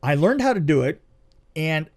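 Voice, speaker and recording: Male podcast co-host, voice on the higher side, casual and animated raconteur, repeats himself for emphasis. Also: normal speed. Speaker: podcast co-host and film production designer. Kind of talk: casual movie review chat and anecdotes.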